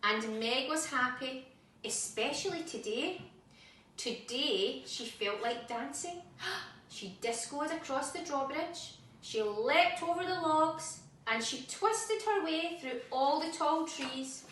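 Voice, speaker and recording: A woman reading a children's story expressively and dramatically, with audible gasps and pauses. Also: high voice, animated, fairly bright tone, slight background noise. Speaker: storybook reader. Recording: very echoey room, distant mic.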